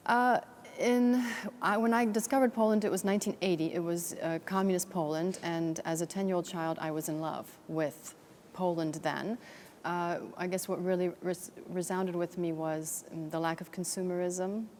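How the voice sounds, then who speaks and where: A woman's voice: fairly low pitch, steady tone, normal speed, few pauses, darkish tone, a little hiss or hum. A middle-aged woman raised in Texas, a guest on an interview show, talking reflectively with many fillers and restarts. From United States, raised in Texas. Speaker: guest, chamber of commerce director. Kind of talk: conversational interview.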